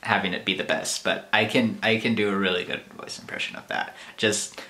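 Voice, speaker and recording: A man's voice: fairly low pitch, fairly even intonation, fairly quick, sharp tone. Rambling young man vlogging. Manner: rambling, self-deprecating. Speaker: YouTuber. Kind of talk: casual vlog chat. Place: small bedroom with posters.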